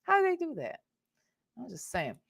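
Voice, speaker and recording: A woman's voice: on the high side, huge pitch swings, normal speed, creaky, breathy edge. An American woman hosting a casual live reaction show, blunt, sassy and profane, with emphatic rhetorical questions. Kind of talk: casual live commentary with chat.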